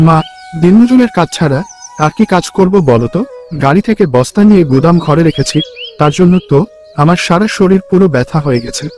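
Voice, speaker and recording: A man's voice: a little high, expressive, a little fast, darkish tone, faint music behind, lightly acted. A man playing an earnest, respectful, poor young day labourer in a cartoon story. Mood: tired, apologetic. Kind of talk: cartoon dialogue.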